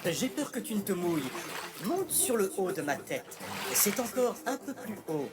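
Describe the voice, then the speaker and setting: A woman voicing a sly fox in a children's cartoon fairy tale, coaxing softly with false reassurance. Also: mid-range voice, very animated, moderate pace, over music, natural voice.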